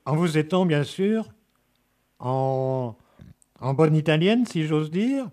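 A man with a fairly high voice conducts an informal interview. His questions come out in halting, reworded phrases, feeling their way forward. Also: expressive, a little mellow.

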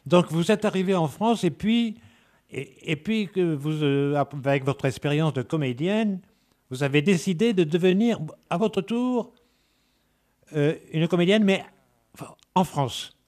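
A man with a fairly high voice conducts an informal interview. His questions come out in halting, reworded phrases, feeling their way forward. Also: darkish tone.

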